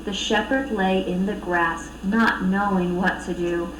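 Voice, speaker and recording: A woman reading a children's storybook aloud with expressive narration. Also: mid-range voice, measured pace, far from the mic. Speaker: storybook reader. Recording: echoey room, off mic, faint noise.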